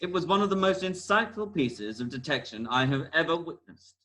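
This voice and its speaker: A man's voice: fairly high pitch, animated, a little fast, fairly bright tone. A man playing a bossy, clever detective in a comic amateur radio play, with a grand, declamatory delivery. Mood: confiding.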